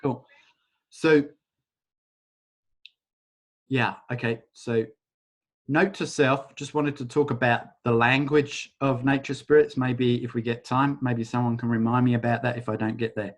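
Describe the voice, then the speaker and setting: A man leading a live online workshop over a video call, talking in a casual, rambling way and softly chanting a repeated phrase. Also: mid-range voice, fairly even intonation, unhurried, pauses often. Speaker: workshop facilitator.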